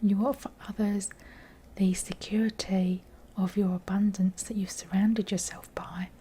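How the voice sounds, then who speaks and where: Husky, whispery woman reading tarot. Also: mid-range voice, little pitch movement, a little slow. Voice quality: husky, breathy, croaky, often near a whisper. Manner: gentle affectionate address, chatty asides. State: croaky voice, low on energy. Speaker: tarot card reader and channel host. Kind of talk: intimate, informal tarot reading addressed to viewers.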